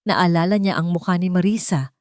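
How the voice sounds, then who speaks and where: A woman's voice: lowish voice, a little fast, dry recording, clean studio sound. A Filipino woman narrating a scripted love story as a dramatic storyteller.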